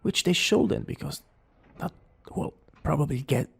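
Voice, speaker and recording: Man acting a cocky, creaky-voiced young villain boyfriend. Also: a little high, expressive, unhurried, exaggerated voice. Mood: flustered, playful. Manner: smug, theatrical, teasing. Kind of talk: romantic ASMR roleplay acting.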